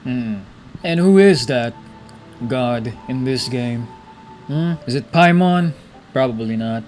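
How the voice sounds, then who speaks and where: A man's voice: mid-range voice, lively intonation, slow, a little mellow, faint music behind. A young man giving casual gameplay commentary, musing aloud. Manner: musing aloud, reading out dialogue. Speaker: game streamer.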